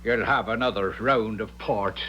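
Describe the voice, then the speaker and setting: A man's voice: on the low side, measured pace, pushed and bright, lo-fi sound, faint music behind. A man playing a proud, hot-tempered Irish father in a 1970s radio drama, blustering and threatening, with an Irish accent. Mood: flustered, placating. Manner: blustering, threatening, stammering when flustered.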